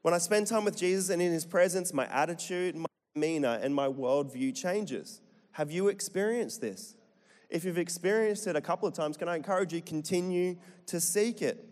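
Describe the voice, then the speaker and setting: A middle-aged Australian pastor with a slightly high voice preaches to his church. He jokes and tosses questions to the congregation. His voice rings with a long reverb through the hall. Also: normal speed, darkish tone. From Central Queensland, Australia. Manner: chatty, jokey, asks the crowd questions. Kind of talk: sermon to a live congregation.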